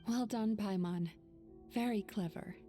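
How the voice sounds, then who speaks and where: A woman playing a calm, wise young dream healer in a video game, explaining things in a measured, composed way. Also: mid-range voice, soft background music, natural voice. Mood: approving.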